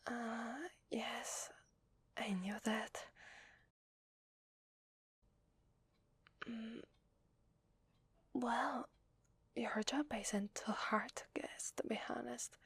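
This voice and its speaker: Woman acting as polite vampire lady, Italian accent, breathy whisper, unhurried, close dry sound, hesitant ASMR roleplay. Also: on the high side, no echo, full character voice. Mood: shy, apologetic. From Italy. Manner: hesitant, polite, soft-spoken. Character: shy, apologetic, secretly needy vampire lady neighbour. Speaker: ASMR voice actor.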